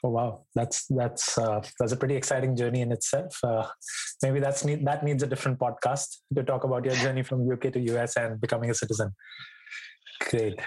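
A man's voice: medium pitch, little pitch movement, brisk, darkish tone, low-quality recording. A man hosting a podcast interview, friendly, with many fillers and restarts. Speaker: podcast host.

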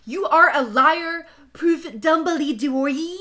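Woman putting on a melodramatic, shouty goth teen girl. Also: high voice, animated, moderate pace, voice pushed up. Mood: angry, accusing. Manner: overwrought, shouty, exaggerated outrage. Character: melodramatic angsty goth teenage girl, quick to scream insults. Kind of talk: mock-dramatic fan fiction reading.

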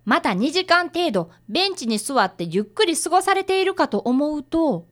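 A woman's voice: high-pitched, sing-song, normal speed, a bit bright. A woman playing an earnest, polite young boy apprentice detective in a radio drama, narrating and talking formally. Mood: earnest, puzzled.

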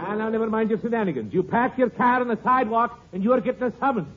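A man's voice: very high-pitched, expressive, fast talker, husky, full character voice. An actor playing an indignant, blustering policeman with an Irish accent in a 1940s radio comedy. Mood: sarcastic, stern. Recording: noisy, phone-like 1940s radio recording.

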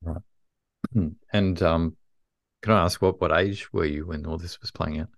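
A man's voice: sits low, fairly even intonation, vocal fry, dry recording. A man hosting an interview, asking curious questions that often start over. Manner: curious questions with halting restarts. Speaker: podcast host. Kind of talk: interview conversation.

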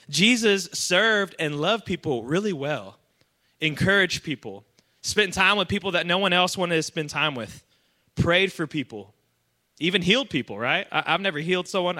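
A man preaching to a young congregation, his voice bright and sitting a little high. He talks in an energetic, chatty way and keeps asking the room to answer back. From Iran. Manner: energetic, chatty, asks the crowd for responses. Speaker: pastor. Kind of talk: sermon to a young adult congregation.

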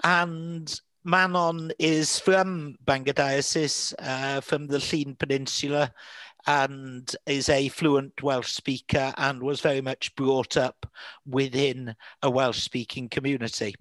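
A man's voice: mid-range voice, little pitch movement, takes it slowly, a bit bright, no echo. A man with a Manchester accent, a priest hosting a podcast, talking through past episodes in a thoughtful, rambling way with frequent fillers and restarts. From England. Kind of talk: casual conversational podcast chat.

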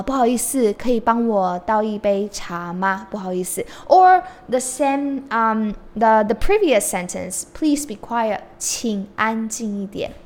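A woman's voice: mid-range voice, a little mellow. Woman teaching Chinese phrases. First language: Mandarin Chinese. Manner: switches between English explanation and slow modeled Chinese phrases. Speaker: Chinese teacher and podcast host. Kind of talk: casual language lesson.

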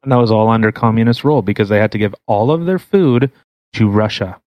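A man co-hosting a podcast, chiming in with brief remarks and quick apologies. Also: on the low side, a little mellow, no room sound. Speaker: podcast co-host. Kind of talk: casual opinion chat.

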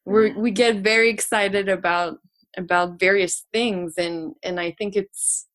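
A woman's voice: medium pitch, steady tone, a little slow, fairly bright tone. Casual female podcast guest; hesitant, restarting often. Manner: hesitant, many restarts and laughs. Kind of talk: casual conversation.

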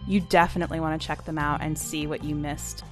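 A woman presenting a literary podcast, reading and speaking in a measured narrating style. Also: sits low, level tone, over music. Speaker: podcast host and editor reading a story.

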